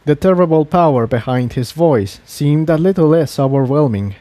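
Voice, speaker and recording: A man's voice: fairly high pitch, animated, moderate pace, dark tone, dry recording. A man reading an adventure story aloud as a scripted dramatic narration. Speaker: fan fiction reader and narrator.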